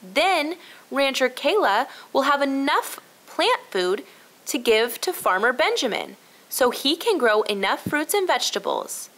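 A helpful young girl acted by a woman narrator explains things in a high, lively voice. She is earnest, in a storybook style. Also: unhurried, exaggerated voice. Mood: explaining. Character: bright, helpful young girl solving a mystery. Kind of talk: children's storybook read-aloud.

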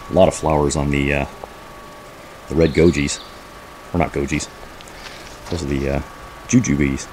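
A man with an exceptionally deep voice hosts a casual walk-through vlog. He talks informally and off the cuff, pointing things out as he goes. The recording is made outdoors, with clear background noise around his voice. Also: darkish tone, low-quality recording. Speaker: host of a homesteading vlog. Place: outdoors in a backyard garden.

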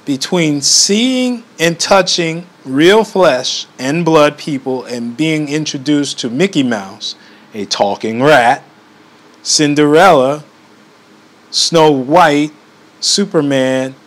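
A man's voice: on the high side, slow pace. A man reading a book aloud in an amateur way, often stumbling and going back over phrases.

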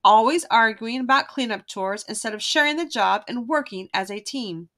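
A woman narrating a children's storybook aloud in an expressive, emphatic way. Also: fairly high pitch, moderate pace.

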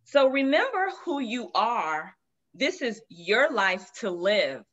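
A woman's voice: a little high, very animated. A woman in her forties reading a picture book aloud to children as a guest storyteller on a video call. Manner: slow, gentle read-aloud. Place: room with shelves of children's books. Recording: dull lo-fi video call audio.

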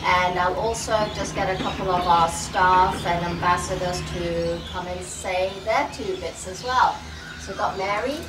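Middle-aged woman, event host, earnest and encouraging, lowish voice, far-off camera in an echoey pavilion. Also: steady tone, measured pace, background noise. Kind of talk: speech to a small outdoor gathering. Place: open-sided park pavilion outdoors. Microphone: far camera, no mic. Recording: distant, echoey outdoor pavilion with music.

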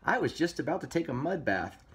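A man's voice: mid-range voice, sing-song, measured pace. A man voicing a squeamish, whining little pig from a children's storybook. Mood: whiny.

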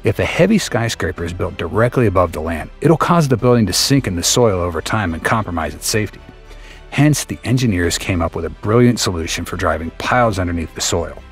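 A man reading a scripted documentary narration in a steady, explanatory way over a close voice-over mic. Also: low-pitched, fairly quick. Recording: faint music bed behind the voice.

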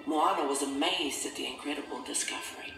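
A man narrating a scripted children's story in a measured, expressive storytelling manner. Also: fairly high pitch, gravelly. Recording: roomy, distant, music behind.

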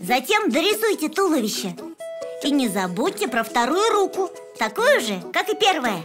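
A woman's voice: sits high, very animated, moderate pace. A woman voicing a cheerful young boy bunny who teaches drawing in a children's cartoon, encouraging and instructive. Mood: cheerfully instructing. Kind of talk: children's educational cartoon dubbing. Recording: music behind the voice.

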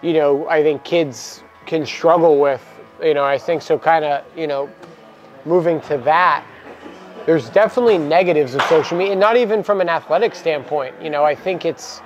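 Younger man talking casually, higher voice. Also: moderate pace, lo-fi sound, soft background music. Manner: relaxed, many "you know" fillers. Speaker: baseball program coach and co-host. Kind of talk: casual podcast chat. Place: cluttered indoor equipment room. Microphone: lapel mic.

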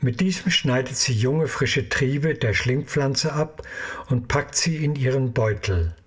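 Male narrator for an audio drama, medium pitch, husky texture, scripted storytelling. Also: unhurried.

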